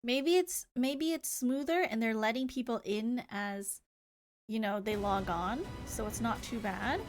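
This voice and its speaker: A woman's voice: fairly high pitch, a little slow, faint music behind. A woman live-streaming a game, chatting casually in a playful, rambling manner. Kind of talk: casual livestream chat, reading game dialogue aloud.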